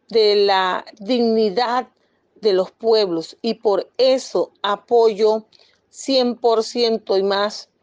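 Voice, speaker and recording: A woman's voice: medium pitch, darkish tone, no room sound, muffled recording. A woman from Panama, speaking as an activist in a firm, insistent press statement with occasional hesitations.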